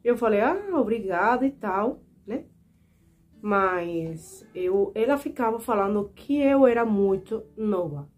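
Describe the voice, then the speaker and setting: A woman's voice: mid-range voice, takes it slowly, darkish tone. A woman in her twenties from Cuba, speaking with a Cuban Spanish accent, telling personal stories earnestly and indignantly to her viewers. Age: 20s. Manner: earnest, indignant, repeatedly addressing viewers as "gente". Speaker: vlogger. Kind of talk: personal storytelling to camera.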